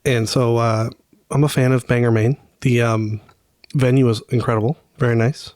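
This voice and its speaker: A man chats casually as a podcast co-host. His voice is of average pitch for a man. The recording is dry and free of room sound. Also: steady tone, normal speed, a little mellow, no room sound. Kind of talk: casual chat.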